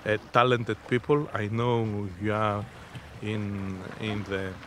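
A man in his fifties answering interview questions in a halting, deliberate, unscripted way. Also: fairly low pitch, fairly even intonation. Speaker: interviewee, innovation zone representative. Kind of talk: spoken interview, unscripted explanation. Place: outdoors on a sea beach. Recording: outdoor seaside background noise.

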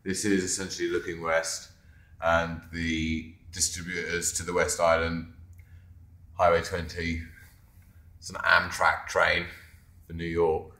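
A man's voice: deep voice, measured pace, long pauses, roomy sound. A man casually narrating a walkthrough of a mural he painted, in an office lunchroom, speaking offhand into a handheld camera. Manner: relaxed, offhand, pointing things out. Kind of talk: casual narrated video tour.

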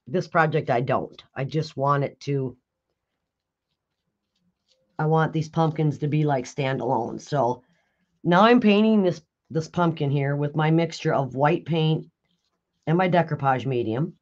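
A raspy, hoarse woman hosting a live craft tutorial, chatting with viewers and answering their comments while she works. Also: low voice, a little slow, long pauses, a little mellow, lo-fi sound. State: hoarse after illness. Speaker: craft video host. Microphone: overhead camera on a craft table.

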